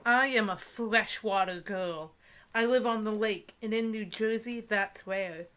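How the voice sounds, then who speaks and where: Bright-voiced woman reading with sarcastic asides. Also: mid-range voice, a little slow, clean tone. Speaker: co-host of a comedic book review show. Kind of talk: mocking dramatic reading with casual commentary.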